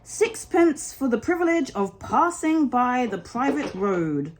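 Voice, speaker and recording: Lady doing an old rabbit gatekeeper from a kids book, bossy, voice pushed up high. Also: big pitch swings, unhurried, slight background noise. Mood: officious. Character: elderly male rabbit, officious toll-demanding gatekeeper. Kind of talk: storybook character voice.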